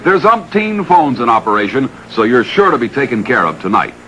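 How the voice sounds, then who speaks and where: A man's voice: mid-range voice, very animated, brisk, fairly bright tone, muffled recording. An American man reading a scripted 1960s radio commercial with an emphatic, salesy delivery. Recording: old radio broadcast with faint noise.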